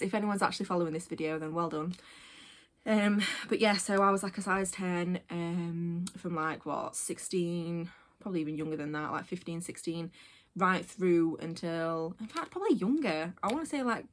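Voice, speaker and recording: A woman in her late twenties talks casually to her camera. She rambles and goes off on tangents, filling pauses with ums and likes. Her voice sits a little lower than most women's. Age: 20s. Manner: rambling, chatty, full of fillers and tangents. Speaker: vlogger. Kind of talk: casual personal vlog.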